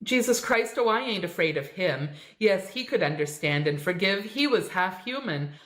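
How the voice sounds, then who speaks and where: A woman reading a story aloud, voicing a dying woman who pleads in a shrill, frantic voice. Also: expressive, moderate pace, a bit bright, natural voice. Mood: despairing. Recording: echoey room.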